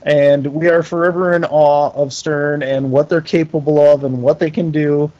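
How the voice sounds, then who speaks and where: A man's voice: on the high side, flat delivery, moderate pace, dull audio. A middle-aged man giving a casual interview as a guest, talking in a folksy, self-deprecating and enthusiastic way. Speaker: interview guest, pinball company owner.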